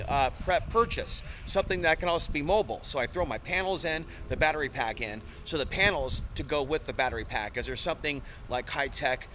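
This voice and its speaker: A man hosting his own independent show, talking in a rambling, unscripted way, halting and drifting into asides. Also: a little high, pushed and bright, slight background noise, rough recording. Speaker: host of an independent podcast and TV news show. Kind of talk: rambling unscripted monologue to listeners.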